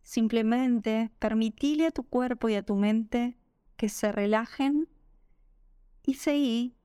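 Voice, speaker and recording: A woman with a Rioplatense Spanish accent narrating a guided meditation in a gentle, calming, unhurried manner. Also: a little high, clear, resonant tone, no echo, clean studio sound. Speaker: yoga nidra meditation guide.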